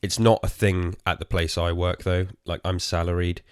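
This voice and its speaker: Casting: weary English podcaster, very low voice, hoarse, rambling and self-mocking, dry close sound. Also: male voice, little pitch movement, normal speed, no echo. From England. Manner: rambling, self-deprecating, swears casually. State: tired and hoarse. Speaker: podcast host. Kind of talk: casual solo podcast chat.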